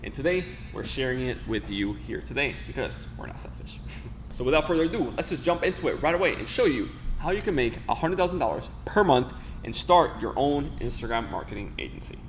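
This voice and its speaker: A man's voice: mid-range voice, big pitch swings, a little hiss or hum. A man in his twenties from the Dominican Republic, a native Spanish speaker, talking casually with lots of fillers and restarts. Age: 20s. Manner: fast, filler-heavy talk with many restarts. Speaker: host. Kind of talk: casual interview and tutorial talk.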